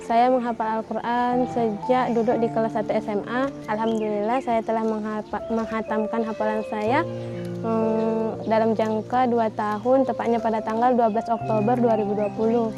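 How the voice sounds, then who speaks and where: A young woman in her late teens from North Sumatra, a Quran memorization graduate, who speaks carefully and pauses with short hesitations. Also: on the high side, level tone. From North Sumatra, Indonesia. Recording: background music under speech.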